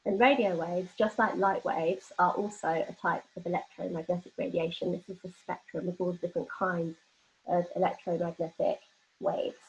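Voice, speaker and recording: A woman's voice: lowish voice, steady tone, moderate pace, slight background noise. A woman presenting an explanatory workshop lecture, talking informally and illustrating points with everyday examples. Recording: dull, lo-fi band-limited audio.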